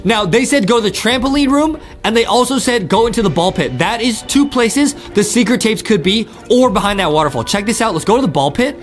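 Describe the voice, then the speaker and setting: A young man with a high, swooping voice hosts gameplay commentary. He is excitable and emphatic, constantly addressing his audience, with music behind him. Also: fairly quick, hardly any pauses. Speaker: host of gaming videos. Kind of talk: hyped gameplay commentary for children.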